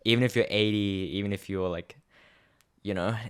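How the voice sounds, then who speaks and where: A man's voice: fairly low pitch, steady tone, normal speed, smooth. A young man co-hosting a podcast, chatting casually and reflectively, rambling with many fillers. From Australia. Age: young adult. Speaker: podcast co-host. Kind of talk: casual chat.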